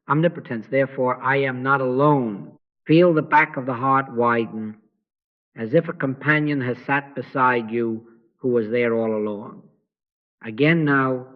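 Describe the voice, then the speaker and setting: Hushed man guiding a slow meditation. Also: mid-range voice, narrow, tinny audio. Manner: slow, hushed, gently instructive. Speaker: meditation guide.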